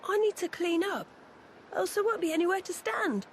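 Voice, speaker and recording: A woman's voice: very high-pitched, animated, moderate pace, a little hiss or hum. A woman voicing an eager, bookish young boy in a video game, chirpy and informal. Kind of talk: video game cutscene acting.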